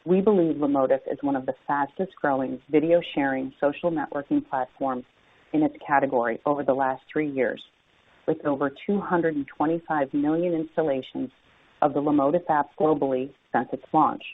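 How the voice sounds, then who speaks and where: A woman's voice: low-pitched, steady tone, normal speed. A woman giving a formal, scripted earnings presentation as a company executive over a conference phone line. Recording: dry, tinny narrowband phone line.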